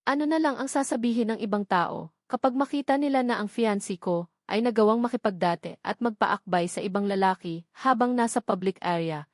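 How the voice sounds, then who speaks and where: A woman's voice: medium pitch, fairly quick, no echo, studio quality, close to an everyday voice. A woman voicing a serious, jealous male fiancé in a romance audio novel, stern and demanding. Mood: stern, reproachful.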